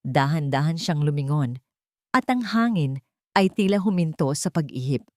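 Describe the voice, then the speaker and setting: A woman's voice: low voice, animated, moderate pace, darkish tone, dry recording, clean studio sound. A woman with a Filipino accent reading a scripted romance story as a dramatic narrator.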